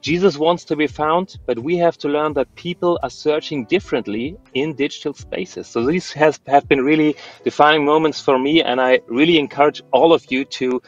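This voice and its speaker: A man whose first language is German, a podcast guest speaking in halting casual conversation with frequent fillers. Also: on the high side, lo-fi sound, faint music behind.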